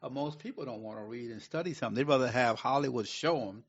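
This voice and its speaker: Casting: male radio panelist, raspy medium-pitched voice, conversational storyteller with a preachy streak, muffled lo-fi sound. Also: fast talker. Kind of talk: casual anecdotes and explanation. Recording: dull lo-fi radio recording.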